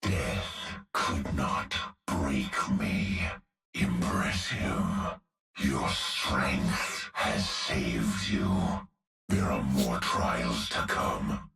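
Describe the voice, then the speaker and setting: An actor voicing a vengeful, menacing male cyborg executioner with a gravelly voice, delivering slow, grim threats. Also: very deep voice, lively intonation, sharp tone, heavily acted. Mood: seething. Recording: game clip, roomy, heard from a distance with faint music.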